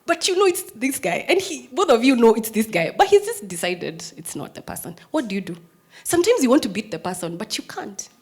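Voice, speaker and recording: A woman's voice: a little high, very animated, a little fast, breathy edge. Earnest Kenyan woman telling courtroom stories. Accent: Kenyan English. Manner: strong, firm voice. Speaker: prosecutor, speaker giving a talk. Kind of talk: personal storytelling talk to an audience.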